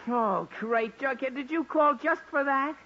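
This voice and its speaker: An American actor playing a put-upon, excitable teenage boy in a 1940s radio comedy. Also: very high-pitched, moderate pace. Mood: exasperated. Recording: scratchy, muffled 1940s radio recording.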